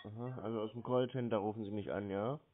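A man's voice: on the low side, fairly even intonation, fast talker. A man taking a sales call, blunt and contrary in his replies. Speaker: call recipient baiting phone scammers. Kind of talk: phone conversation. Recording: tinny phone line.

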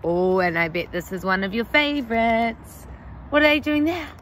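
A young woman teacher, reading a picture book aloud to young children in a slow, exaggerated, sing-song way, recorded outdoors on a phone. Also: on the high side, lively intonation, a bit bright, a little hiss or hum. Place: outdoors under trees.

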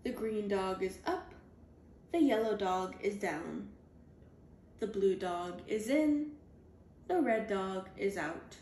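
Woman, storybook reader, high voice, deliberate spaced phrases, roomy home recording, faint noise. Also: animated, a little mellow. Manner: slow, deliberate, clearly spaced phrasing for young children. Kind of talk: reading a children's picture book aloud. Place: indoors at home, on a leather couch.

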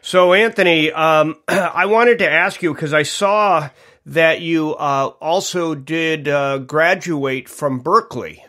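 A man's voice: on the high side, takes it slowly, pushed and bright. Hesitant middle-aged New man hosting. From Connecticut, USA. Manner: hesitant, many fillers and restarts. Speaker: podcast host. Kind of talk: casual interview chat.

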